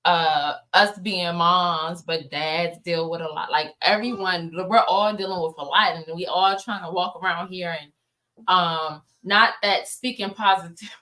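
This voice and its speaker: A woman's voice: lowish voice, fairly even intonation, unhurried, pushed and bright, poor audio. An American woman talking casually as an interview guest, informal and emphatic, repeating words for emphasis. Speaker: interview guest, playwright and producer.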